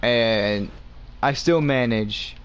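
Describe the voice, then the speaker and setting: A man's voice: medium pitch, very slow, clear, resonant tone. Young Southern man talking earnestly to viewers. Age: young adult. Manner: earnest, talks straight to viewers as "guys". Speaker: gaming channel host. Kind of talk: casual chat while playing a game. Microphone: headset.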